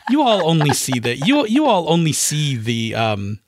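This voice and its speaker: A man's voice: on the high side, wildly swooping pitch, moderate pace, no room sound, studio quality. A middle-aged man co-hosting a podcast in casual conversation. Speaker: podcast co-host. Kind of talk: casual chat.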